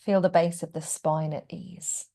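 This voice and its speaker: A woman guiding a relaxation meditation as a yoga nidra teacher, speaking gently and without hurry, with phrases that trail into a hush. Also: on the low side, fairly even intonation. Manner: gentle, unhurried, hushed phrase endings. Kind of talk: guided meditation.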